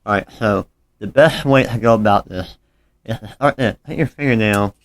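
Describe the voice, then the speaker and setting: Low-voiced man giving a casual repair how-to. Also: normal speed. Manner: informal, apologetic, talking while working with his hands. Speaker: phone repair tutorial host. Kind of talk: casual hands-on how-to narration.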